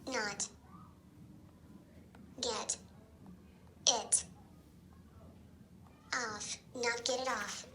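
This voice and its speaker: A woman's voice: on the high side, fairly even intonation, drawn-out words, long pauses, bright, forceful tone, slight background noise. A synthetic woman's voice on a speech device, echoing key words in clipped, separate pieces. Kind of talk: synthetic word-by-word read-out.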